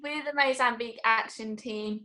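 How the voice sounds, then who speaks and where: An eighteen-year-old woman from England giving a casual, chatty group presentation about her gap year, pausing now and then to search for words. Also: on the high side, pushed and bright. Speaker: gap-year team member.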